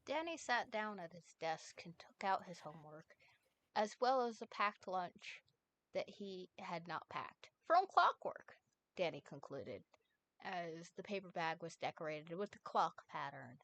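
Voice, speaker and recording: Lively woman reading on stream. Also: fairly low pitch, a little slow. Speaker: fanfic co-reader. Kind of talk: reading a story aloud and chatting on stream.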